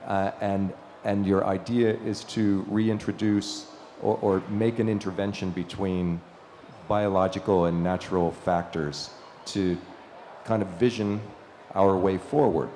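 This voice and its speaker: A male moderator questioning a speaker on stage, halting and stammering as he talks. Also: low-pitched, fairly even intonation, dark tone. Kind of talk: onstage questioning. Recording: roomy hall with faint noise.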